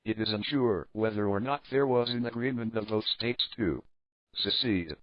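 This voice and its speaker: A man playing a sober news anchor, reading a scripted crisis report in a formal, measured way. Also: fairly low pitch, no room sound, close to an everyday voice. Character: sober adult male news anchor of alternate-history crisis. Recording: dull lo-fi narrow band.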